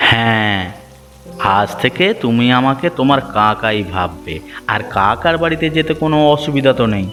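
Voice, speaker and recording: A man's voice: mid-range voice, normal speed, a bit bright, natural voice. A man voicing a kind-hearted village fisherman in a cartoon story, concerned and coaxing, with music behind. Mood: kindly, coaxing. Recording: background music.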